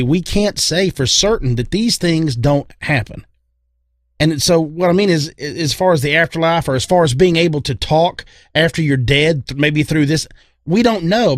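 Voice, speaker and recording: A man's voice: on the high side, normal speed, no echo, studio quality. A man co-hosting a podcast, giving his opinions in casual, rambling talk with emphatic repetition. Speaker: podcast co-host.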